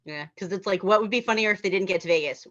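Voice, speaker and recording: A woman's voice: mid-range voice, rapid-fire, a bit bright, highs cut off. A woman in a casual group discussion of a script, rambling and often repeating and rephrasing herself. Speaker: table read participant.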